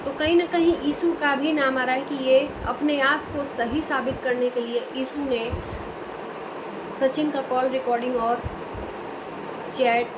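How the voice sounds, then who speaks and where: High-pitched woman with noise behind her. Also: a little slow, pauses often. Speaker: reaction video host. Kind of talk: casual commentary on online personalities. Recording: clear steady room hum and noise.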